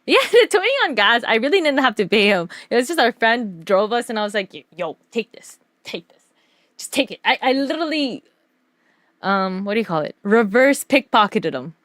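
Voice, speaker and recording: A woman streamer telling stories casually over a slideshow, animated, giggly and slangy in her delivery. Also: on the high side, fairly quick, few pauses, no room sound, studio quality. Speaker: streamer and artist.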